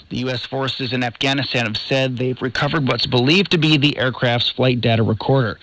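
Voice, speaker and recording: A man reading scripted news in a formal, measured newsreader delivery, heard over shortwave radio. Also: medium pitch, fairly bright tone, slight background noise, poor audio. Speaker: news presenter.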